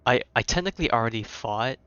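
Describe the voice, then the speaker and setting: A man's voice: mid-range voice, animated, fast, a bit bright, smooth, no room sound, dull audio. A young man doing casual live game commentary in a slangy, reactive way. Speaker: game streamer.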